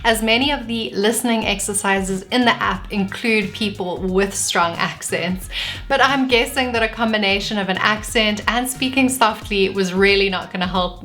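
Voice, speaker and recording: A South African woman talks through exam advice at a clear, steady pace. Her pitch sits in a middle range. Soft music plays faintly underneath. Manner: clear, measured and advising. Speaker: vlogger giving test tips. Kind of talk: scripted tips video.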